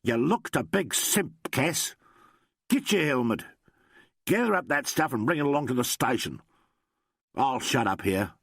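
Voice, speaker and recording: A man voicing a brisk, weary police inspector who speaks brusquely and without sympathy. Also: mid-range voice, lively intonation, gravelly, a bit bright, breathy edge, no echo, studio quality, exaggerated voice. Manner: brusque, unsympathetic.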